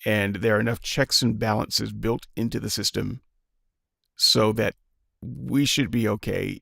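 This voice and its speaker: A man in his fifties talking casually and reflectively, pausing often with fillers and restarts. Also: mid-range voice, creaky, no room sound, studio quality. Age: 50s. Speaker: podcast guest. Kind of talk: casual opinion talk.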